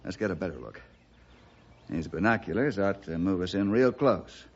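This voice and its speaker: Calm, probing western hero, muffled recording. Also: male voice, sits low, breathy edge, exaggerated voice. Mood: steady. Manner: measured, probing, level-headed. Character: calm, inquisitive male western lawman hero. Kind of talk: old-time radio western acting. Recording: dull vintage radio recording.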